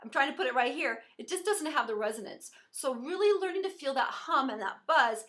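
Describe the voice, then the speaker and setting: A woman voice teacher giving an encouraging singing lesson, explaining pitch and resonance as she demonstrates. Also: animated, brisk, a bit bright.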